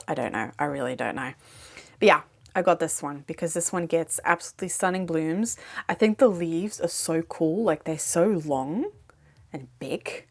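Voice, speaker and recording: Woman, plant vlogger, lowish voice, rambling casual chat, non-native English, at home. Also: lively intonation, normal speed, creaky. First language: not English. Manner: chatty, rambling, with many fillers. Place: home, indoors.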